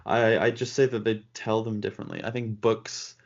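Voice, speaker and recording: Gravelly low-voiced man chatting. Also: little pitch movement, lo-fi sound. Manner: measured, laughs often, rates things out of ten. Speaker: podcast co-host. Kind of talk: casual discussion.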